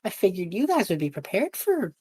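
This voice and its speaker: A flustered young student mage, played by a woman in a tabletop game. The voice is husky and pushed up from the performer's usual pitch. It babbles and stammers defensively. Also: sing-song, a little fast, a little mellow.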